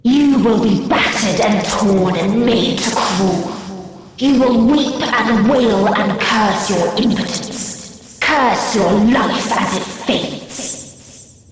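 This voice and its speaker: A woman's voice: medium pitch, takes it slowly, distant mic, close to an everyday voice. A woman voicing a menacing, sorrowful otherworldly spirit in a video game, speaking with solemn, ominous declamation. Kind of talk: dramatic video game voice acting. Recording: heavily echoing, long cavernous reverb.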